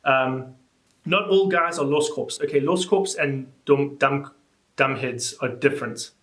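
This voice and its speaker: A young man chatting casually in a reaction vlog, reading comments aloud and reacting with animated disbelief. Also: a little slow. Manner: animated, incredulous, rising pitch when exasperated. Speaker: YouTuber. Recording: echoey room.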